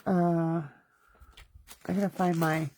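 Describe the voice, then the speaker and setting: A woman's voice: on the low side, little pitch movement, slow, mellow, a little hiss or hum. A woman hosting a casual hands-on card-making class, talking to herself as she works, her nose stuffy. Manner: chatty, self-talking while working. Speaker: craft class host. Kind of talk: casual hands-on craft demonstration.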